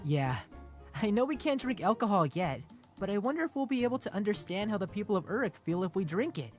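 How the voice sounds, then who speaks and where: A woman playing an eager, wide-eyed young male hero in a comic dub, excitable and expressive. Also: mid-range voice, fast talker, faint music behind, close to an everyday voice. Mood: wistful.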